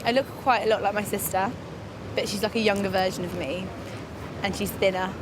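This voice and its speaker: A young woman with an average-pitched voice chats in answer to an interviewer. Street and vehicle noise is clearly heard around her. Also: fairly even intonation, brisk. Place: outdoors in a city. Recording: clear outdoor urban traffic noise.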